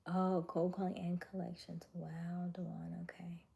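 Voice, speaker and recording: A woman with a low, mellow voice that has a noticeable gravelly fry chats casually with her viewers. She is relaxed and talkative, often dropping into a soft whisper between louder remarks. She sounds like a young adult speaking into a simple home mic. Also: unhurried. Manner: chatty, laughs often, drops to soft whispers. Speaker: livestream host chatting with viewers. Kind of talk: casual live chat reading viewer comments.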